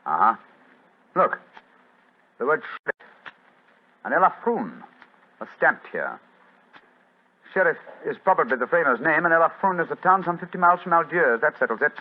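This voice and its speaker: English gentleman detective, British accent, authoritative, slightly husky, animated, muffled 1940s radio drama. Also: on the high side, normal speed, long pauses. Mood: decisive, assured. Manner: crisp, authoritative, clipped. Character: brisk, incisive English gentleman detective, keenly observant. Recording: muffled old-time radio recording.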